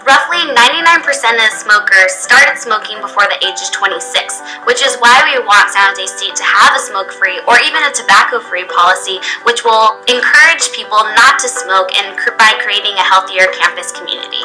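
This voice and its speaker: A woman with a very bright, forceful voice speaks to an interviewer. She is earnest and persuasive, talking in her own unscripted words over faint music. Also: medium pitch, a little fast, few pauses, poor audio. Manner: earnest, urging. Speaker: public health worker, interviewee. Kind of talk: interview advocacy, semi-spontaneous. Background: background music.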